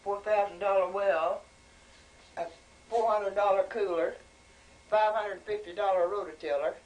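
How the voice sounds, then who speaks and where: A woman's voice: medium pitch, steady tone, a little slow, darkish tone. An elderly woman chatting casually and teasingly at home in folksy rural speech. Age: 70s. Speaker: grandmother being filmed at home. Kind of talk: casual chat. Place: small home kitchen, indoors. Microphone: camcorder microphone. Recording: noisy amateur home video.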